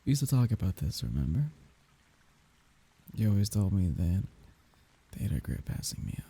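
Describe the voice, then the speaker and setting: A grieving man played by a male voice actor murmurs an intimate farewell to his dead lover. His voice is very dark and mellow, thick with breathy husk and gravel. He speaks haltingly, tender and wry, in the close style of an ASMR audio drama. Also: sing-song, full character voice. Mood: playful, bittersweet. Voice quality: husky, breathy, gravelly low voice.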